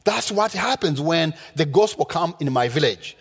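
A man's voice: on the high side, lively intonation, normal speed, husky. A man from rural Rwanda with a Rwandan accent, preaching a sermon in an emphatic, teaching manner that leans on repetition. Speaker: guest preacher. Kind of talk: sermon with personal testimony. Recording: reverberant hall sound.

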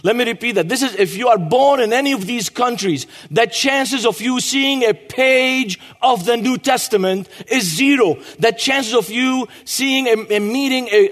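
Guest preacher, man in his 40s, high voice, long church reverb. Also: fairly bright tone. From Lebanon. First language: Arabic. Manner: emphatic, repeats key phrases. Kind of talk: sermon.

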